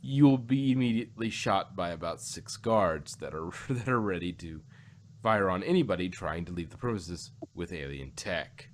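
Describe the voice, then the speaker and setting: A man with a fairly low voice talks in a casual, discussion style. He rambles and repeats phrases as he works through his thoughts. The recording carries some background noise. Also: lively intonation, normal speed. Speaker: podcast co-host reviewing audio dramas.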